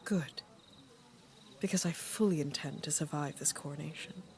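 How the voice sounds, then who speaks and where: A woman performs an aging monarch of a fantasy court, wry and careworn. Her voice sits low with a gravelly fry, and she phrases things deliberately, like a ruler used to being obeyed. There is faint noise behind her. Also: a little mellow. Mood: dry resolve. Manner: measured, deliberate, dryly teasing. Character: aging queen and mother, worried, wry, regal. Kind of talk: dramatic fantasy court audio drama.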